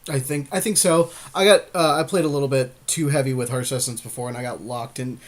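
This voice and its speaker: A man's voice: mid-range voice, fairly even intonation, fast. A man chatting casually as co-host on a card game draft, giving agreeable and often brief responses.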